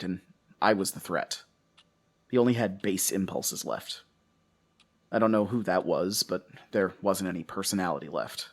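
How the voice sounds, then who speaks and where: An actor playing a haunted, guilt-ridden husband and father, narrating a horror audio drama in a halting, anguished way. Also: low-pitched. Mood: grim, weary. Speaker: narrator and lead character.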